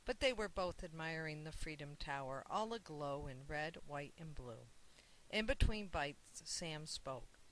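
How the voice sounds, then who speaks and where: Children's book narrator, author's own read: bright tone, scripted storytelling, light hiss. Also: mid-range voice, lively intonation, measured pace, low-quality recording.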